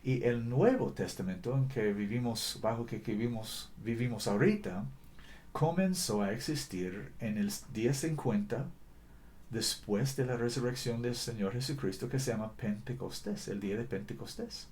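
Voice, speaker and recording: A man preaching a sermon in a language that is not his first, earnest and deliberate, often correcting himself mid-sentence. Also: mid-range voice, a little mellow, slight background noise. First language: not Spanish.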